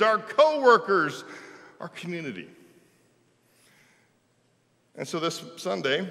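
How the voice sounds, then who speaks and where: A middle-aged pastor, preaching an animated, folksy sermon from a pulpit in a large church sanctuary. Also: male voice, high-pitched. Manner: animated preaching, folksy with "y'all", gestures while speaking. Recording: long church reverb.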